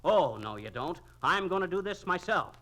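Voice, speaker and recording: An American actor playing a folksy, excitable, work-shy husband in a 1940s radio comedy, slangy and wisecracking. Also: male voice, high-pitched, normal speed, full character voice. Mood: indignant, eager. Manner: flowery spiel, wisecracking, slangy. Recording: vintage 1940s radio recording.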